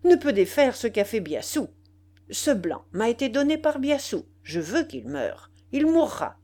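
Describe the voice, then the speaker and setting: A woman's voice: medium pitch, sing-song, unhurried, a little mellow. A woman voicing a vengeful, gloating male dwarf sorcerer in an audiobook, with theatrical, commanding emphasis. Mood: indignant, commanding. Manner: theatrical, emphatic, cursing with Spanish words mixed in.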